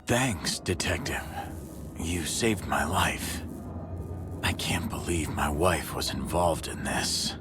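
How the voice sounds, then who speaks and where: Deep-voiced police commissioner. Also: male voice, animated, slow pace, loud music behind the voice, heavily acted. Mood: grateful, shaken. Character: grateful, dutiful male police commissioner. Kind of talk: video game cutscene acting.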